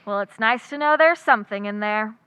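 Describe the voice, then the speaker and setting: A woman acting a wry, quick-witted schoolteacher in old-time radio comedy, full of dry, sarcastic wisecracks. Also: medium pitch, pushed and bright, clear, resonant tone, no room sound, close to an everyday voice. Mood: wry, playful.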